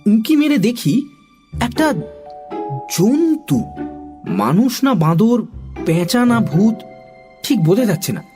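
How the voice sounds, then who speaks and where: An expressive, animated narrator telling a children's story in dramatic style. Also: medium pitch, takes it slowly, pauses often, over music.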